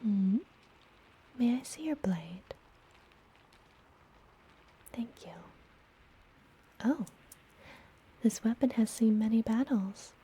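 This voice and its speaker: A woman playing a friendly, gentle witch healer in an ASMR fantasy roleplay, whispering softly and soothingly, as if up close. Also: fairly high pitch, heavily acted, low-quality recording. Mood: curious, helpful. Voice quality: soft, breathy whisper. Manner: slow, soothing, intimate personal attention. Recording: close whisper with background noise.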